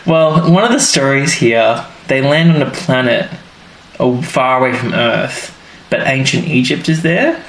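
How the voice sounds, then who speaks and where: A man's voice: medium pitch, measured pace, fairly bright tone. A man co-hosting a podcast, chatting casually and recounting stories in a rambling way with plenty of restarts. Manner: rambling, restarts and fillers while recounting plots. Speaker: podcast co-host. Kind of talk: casual chat. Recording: roomy sound with faint background noise.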